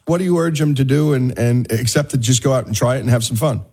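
A man's voice: mid-range voice, quick pace, a little mellow, slightly rough. A man hosting a conversational interview, putting questions to a guest and responding to the answers. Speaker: interview host.

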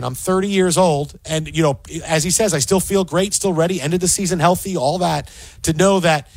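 Animated man talking football, dry sound. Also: fairly high pitch, no room sound. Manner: animated, repeats words when starting a thought. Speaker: sports podcast co-host. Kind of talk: casual sports talk.

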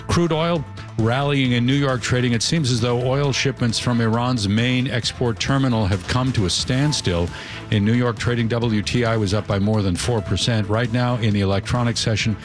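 Male news anchor, low register, even scripted read, music bed under. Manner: crisp, even newsreader delivery. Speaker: radio news reader.

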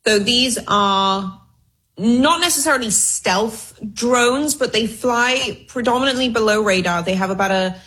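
A woman's voice: on the high side, measured pace, a bit bright, breathy edge, low-quality recording. A woman speaking as a guest researcher and commentator, explaining news informally with wry, hedging asides. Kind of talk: conversational news analysis.

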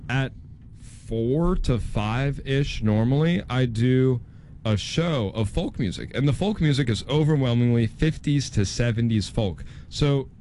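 A man's voice: medium pitch, expressive, measured pace, dark tone, a little hiss or hum. A young man from northern Kentucky, co-hosting a casual chat, rambling and hedging as he talks. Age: young adult. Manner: rambling, hedging with "like" and "you know". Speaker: co-host, folk music show host.

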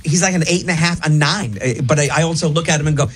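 A man hosting a radio show, reading listener texts and rambling with halting restarts. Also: fairly high pitch, husky, faint music behind. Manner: rambling, halting, lots of fillers. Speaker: radio host. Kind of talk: casual radio chat.

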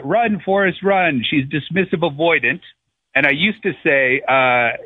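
A man in his thirties calling in to a radio show over the phone, chatting in a casual, rambling, halting and jokey way. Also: medium pitch, no room sound. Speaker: caller, comedian. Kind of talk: casual chat. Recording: tinny, narrow phone line on radio.

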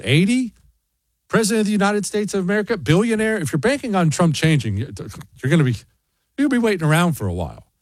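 A man's voice: a little high, husky, no echo. Guy hosting a talk show, blunt and pushy, voice swings up and down a lot, dry close sound. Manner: blunt, combative. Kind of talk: reading and answering listener emails.

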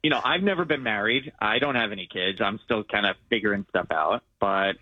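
A man's voice: lowish voice, fairly quick. A man in his twenties calling in to a radio show, earnest and a little hesitant as he confesses. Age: 20s. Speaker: caller. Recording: tinny dry phone line.

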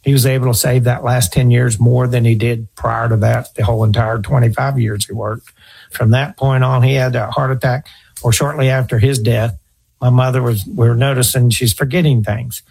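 Gravelly, husky middle-aged man. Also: medium pitch, flat delivery, moderate pace, a little mellow, poor audio. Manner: earnest, storytelling, repeats himself. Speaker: financial advisor radio host. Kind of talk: conversational advice talk.

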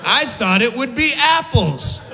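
An American man doing stand-up in a deliberate, mock-formal manner. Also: very high-pitched, sing-song, gravelly, a crowd in the background. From United States. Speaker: stand-up comedian. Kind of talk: stand-up comedy to a foreign audience. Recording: echoing hall with loud audience.

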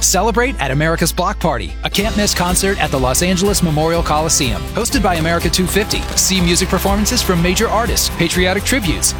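A man reading a scripted commercial briskly. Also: high-pitched. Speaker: commercial announcer. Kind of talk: scripted ad read.

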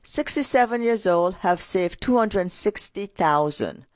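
A woman co-hosting a financial radio show, telling client stories in an energetic, talkative way. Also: medium pitch, measured pace, no echo. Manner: energetic, storytelling with client anecdotes. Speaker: radio co-host, financial advisor. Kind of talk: casual radio finance talk.

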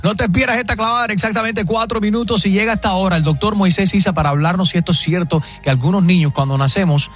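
Spanish-speaking morning radio host. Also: male voice, high-pitched, a bit bright, faint music behind. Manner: fast promo delivery mixing Spanish and English. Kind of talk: morning radio show hosting.

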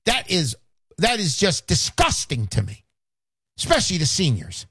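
A man in his sixties hosting a radio call-in show, pitching live in a fervent, emphatic way, often repeating himself, folksy and boastful. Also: huge pitch swings, moderate pace, fairly bright tone, breathy edge. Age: 60s. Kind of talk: live radio sales talk.

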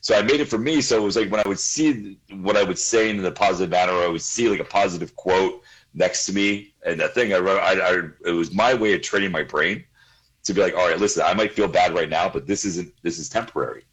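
A man's voice: deep voice, fast, fairly bright tone, breathy edge, poor audio. A man in his forties, a guest in a casual interview, talking earnestly, repeating himself and laughing easily.

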